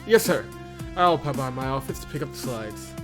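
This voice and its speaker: A man's voice: mid-range voice, lively intonation, moderate pace, lightly acted. A man voicing a flustered, eager young female employee in a visual novel, nervous, stammering and deferential. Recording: loud game music behind voice.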